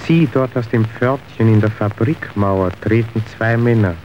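A man's voice: lowish voice, moderate pace, close to an everyday voice. Guy acting cynical sidekick, scornful and preachy, old radio play, muffled with faint hiss. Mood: knowing, didactic. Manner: didactic, scornful. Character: worldly, contemptuous henchman acting as cynical guide.

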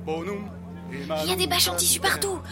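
Actress as a very high-pitched defiant heroine. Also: female voice, big pitch swings, unhurried, creaky, full character voice. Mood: urgent. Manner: urgent, forceful. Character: bold, defiant sister fighting a cult. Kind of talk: French fantasy audio drama acting. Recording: music and crowd under the voice.

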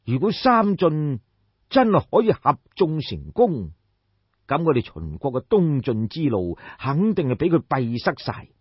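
A man's voice: a little high, big pitch swings, measured pace, husky, no echo, lo-fi sound, heavily acted. A man voicing a shrewd, commanding ancient king in an audiobook, lecturing in a pompous, rhetorical way. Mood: grave, commanding. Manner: pompous, rhetorical, sighing and explaining at length. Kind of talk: dramatised audiobook storytelling.

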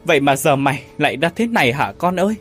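A man's voice: on the high side, animated, normal speed, fairly bright tone, faint music behind. A man voicing a distraught middle-aged mother who pleads shrilly in an audio story. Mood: panicked pleading.

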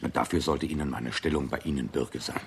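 An actor playing a polite, curious employee in a radio drama, hesitant and eager to please. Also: male voice, extremely low pitch, a little fast, noisy background. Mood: amazed, polite. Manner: hesitant, deferential, exclaiming. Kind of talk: German radio drama acting.

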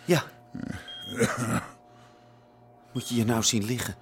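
An actor playing a gangster's tense, hot-headed and reluctant son in a radio drama. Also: male voice, mid-range voice, fast talker, a little hiss or hum, close to an everyday voice. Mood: incredulous. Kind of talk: Dutch radio drama acting.

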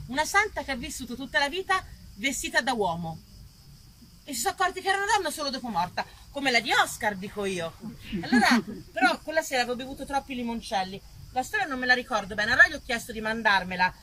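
Bright, high-voiced woman storyteller on stage. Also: slight background noise, rough recording. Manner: expressive, dramatic, engaging listeners with questions. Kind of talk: live theatrical monologue told to an audience. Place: live performance before a small audience.

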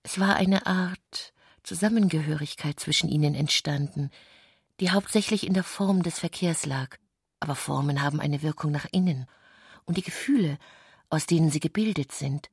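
A woman narrates literary prose in a lowish, husky voice. She reads evenly and with composure. The sound is close and dry, as from a radio studio. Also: moderate pace, no echo. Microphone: close, dry studio microphone.

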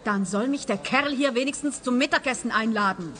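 An actress playing a pushy, indignant, talkative amateur sleuth in a comic radio play. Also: female voice, a little high, fairly quick, over music, close to an everyday voice. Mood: pushy, affronted. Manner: assertive, indignant.

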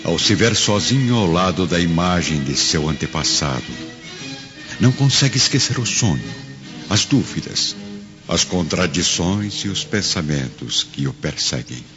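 A very low male voice narrates a radio drama with solemn, literary phrasing. The recording is slightly dull, and music plays behind him. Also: animated, a little slow. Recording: dull audio.

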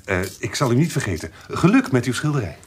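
An actor playing a cunning fairground rogue in a Dutch audio drama, colloquial, stammering and haggling or servile by turns. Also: male voice, medium pitch, wildly swooping pitch, slight background noise. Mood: servile, evasive. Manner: colloquial, hesitant, halting with many stammers.

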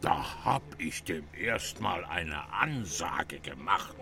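A man's voice: sits low, expressive, slow, vocal fry, heavily acted. An actor playing an indignant, put-upon middle-aged engineer and father in a German radio play, grumbling and blustering. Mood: indignant complaining. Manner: blustering, complaining.

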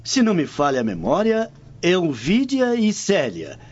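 An actor with a Brazilian accent playing a chatty, incredulous Roman friend in a theatrical radio drama. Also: male voice, high-pitched, unhurried, full character voice. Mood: curious, surprised. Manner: theatrical, formal, expressive. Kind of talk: dubbed radio drama acting. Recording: dull lo-fi, noisy ambience.

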